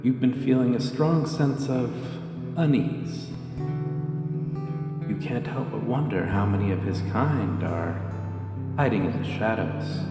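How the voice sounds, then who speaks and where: A man's voice: medium pitch, slow pace, vocal fry, pauses often. A man giving a scripted, dramatic story narration in the second person. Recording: echoey long reverb under loud music. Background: loud plucked guitar music.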